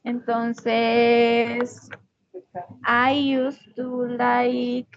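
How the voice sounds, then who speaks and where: A woman, a student speaking hesitant, halting English with many fillers on a video call. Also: fairly high pitch, completely flat delivery, dull audio. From Boyacá, Colombia.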